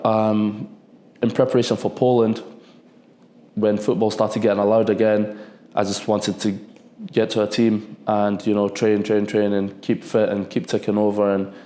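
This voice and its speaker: A young man in his twenties, talking casually in a podcast interview, relaxed and rambling, often repeating himself. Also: fairly low pitch. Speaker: football defender, guest. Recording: echoey room.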